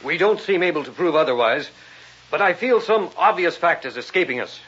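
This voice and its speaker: A man's voice: on the high side, expressive, a little fast, a bit bright, husky. An actor playing an insistent, businesslike male museum researcher, precise and formal, in an old-time radio drama. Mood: analytical. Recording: muffled vintage radio, faint noise.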